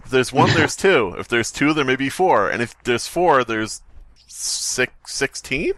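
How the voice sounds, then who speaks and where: A man's voice: medium pitch, animated, measured pace, pushed and bright, dry recording. A man co-hosting a podcast, chatting casually, reading stories aloud, and laughing often. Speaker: podcast co-host.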